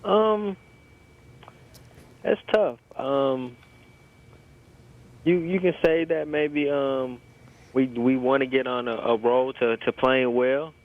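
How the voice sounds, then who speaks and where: A man's voice: medium pitch, steady tone, slow, pauses often. A young American man in his early twenties, a college athlete giving informal, hesitant interview answers over a phone line. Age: early 20s. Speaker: college basketball player being interviewed. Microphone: telephone. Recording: tinny, narrow phone line.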